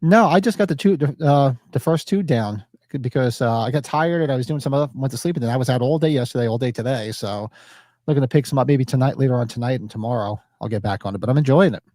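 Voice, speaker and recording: Man, podcast co-host, sniffly, mellow voice, rambling, dry sound. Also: mid-range voice, fast talker, dry recording. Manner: rambling, laid-back, lots of fillers. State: sniffly from allergies. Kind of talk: casual podcast chat.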